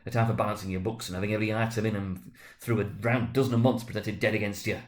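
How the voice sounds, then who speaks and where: A man's voice: fairly low pitch, steady tone, fast talker, close to an everyday voice. A man voicing a stern, irritable, miserly old uncle who growls dismissively in a dramatic storybook reading. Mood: indignant scorn.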